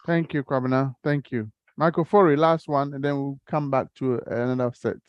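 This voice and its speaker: A man's voice: mid-range voice, steady tone, normal speed, dry recording, lo-fi sound. A man moderating an online community question-and-answer session, politely thanking callers and calling on the next speaker by name. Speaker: forum moderator.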